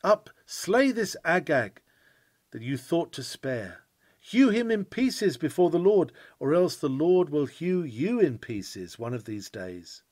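A man reading a sermon aloud in an oratorical style, with earnest, emphatic, measured phrasing. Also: a little high, sing-song, a little mellow, no room sound. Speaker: sermon reader.

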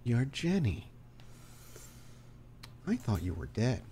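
Man acting out scheming male crime boss, smug, measured, businesslike, mid-pitched, tabletop roleplay. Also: big pitch swings, dark tone, a little hiss or hum. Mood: smug bargaining.

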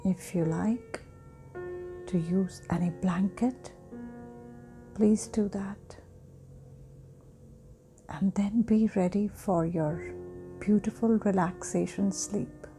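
A woman guiding a sleep hypnosis meditation in a soft, breathy, often near-whispering voice with long pauses. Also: medium pitch, fairly even intonation. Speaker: guided meditation host. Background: meditation music.

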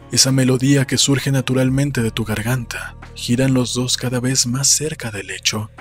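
Man, thirties, audiobook narration, measured and solemn, medium pitch, background music. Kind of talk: scripted literary narration. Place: small room indoors. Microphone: desk microphone close to the mouth, headphones. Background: soft music.

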